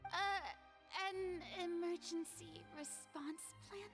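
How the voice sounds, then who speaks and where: A woman's voice: very high-pitched, fairly even intonation, slow pace, gravelly, exaggerated voice. A woman voicing a proud, youthful, theatrical game goddess, grandiose and defensive as she hides her insecurity. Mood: flustered. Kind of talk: video game dialogue acting. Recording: background music over game audio. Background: game music.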